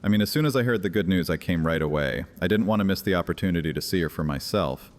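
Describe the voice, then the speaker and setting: An actor playing an ancient vampire high priest in an audio horror drama, grandiose, sardonic and taunting. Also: male voice, animated, fast. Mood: mocking, provoking. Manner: theatrical, sardonic, taunting, with casual asides. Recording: long cavernous reverb.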